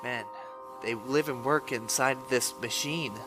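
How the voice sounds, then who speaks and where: A man's voice: mid-range voice, measured pace, sharp tone, soft background music, poor audio. A man playing an earnest, impatient robot scout in a comic dub, pleading in manner. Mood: impatient, wistful.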